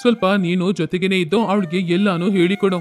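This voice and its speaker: Man playing a high-voiced, placating husband. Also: fairly even intonation, fairly quick, soft background music, close to an everyday voice. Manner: coaxing, advising. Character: kindly, placating middle-aged village husband and father-in-law.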